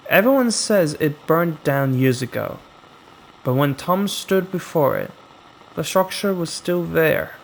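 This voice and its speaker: A man tells a horror story at an extremely slow pace, in a fairly high voice with a gravelly creak. His delivery is dramatic and full of suspenseful pauses, like a storyteller reading from a script. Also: slight background noise, rough recording.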